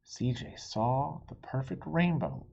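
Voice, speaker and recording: Male picture-book reader, slow and animated, expressive with dramatic pauses; slightly muffled, noisy home recording. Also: medium pitch, vocal fry. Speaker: storybook reader. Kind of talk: expressive children's picture-book read-aloud.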